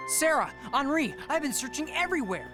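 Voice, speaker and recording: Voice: high voice, sing-song, brisk, music in the background. An actor voicing an eager, idealistic teenage boy, a printer's apprentice, in a cartoon. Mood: relieved.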